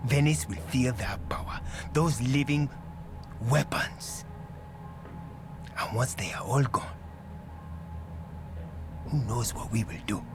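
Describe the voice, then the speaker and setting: An actor playing a menacing, cold-blooded dealer in a video game, speaking deliberately and threateningly. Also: male voice, medium pitch, natural voice. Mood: quiet menace. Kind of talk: dramatic video game voice acting. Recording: music behind the voice.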